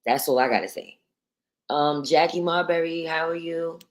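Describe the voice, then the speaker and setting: A woman from New York with a deep voice, hosting a casual livestream, reading viewer comments and talking bluntly with plenty of profanity. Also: moderate pace. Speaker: livestream host.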